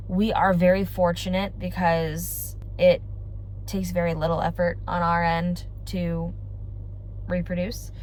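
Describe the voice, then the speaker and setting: A young woman talks to her viewers in a loose, rambling way, full of fillers. Her voice is a little low for a woman and stuffed up from congestion. A faint background hum sits under her voice. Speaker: family vlogger. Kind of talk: casual chat to camera.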